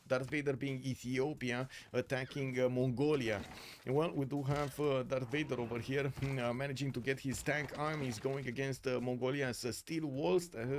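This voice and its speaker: A man's voice: medium pitch, slight background noise. A man casting a strategy game tournament live, chatting casually with viewers and breaking the play-by-play with lots of fillers. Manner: casual, filler-heavy, talks to viewers by name. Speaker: tournament caster and streamer. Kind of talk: live game commentary while reading chat.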